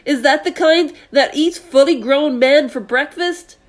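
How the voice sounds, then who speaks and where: Woman doing a panicky, yelling fire chief. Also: unhurried. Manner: excitable, yelling, voice climbing high. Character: panicky adult male fire chief, jittery.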